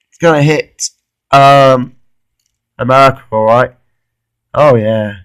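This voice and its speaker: A man of thirty-one, losing his voice with a cold, gives an informal, halting weather forecast commentary and often repeats himself. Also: mid-range voice, gravelly, a bit bright. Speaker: amateur weather vlogger.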